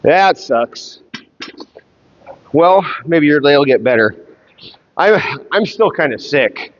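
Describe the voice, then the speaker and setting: Stuffed-up male fitness streamer, slow and halting, muffled echoing audio. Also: on the high side, lively intonation. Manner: rambling, halting, with many fillers. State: congested, stuffed up. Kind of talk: casual livestream chat. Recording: muffled sound with long reverb.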